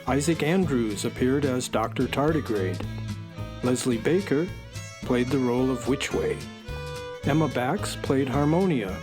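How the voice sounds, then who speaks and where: A man works as announcer for a radio play. His delivery is scripted credit-reading. Music plays clearly behind him. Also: medium pitch. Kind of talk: scripted narration.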